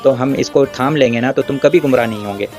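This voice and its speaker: A man's voice: medium pitch, quick pace, darkish tone, faint music behind. A man giving an argumentative religious rebuttal, addressing his opponent directly in a taunting, confrontational way.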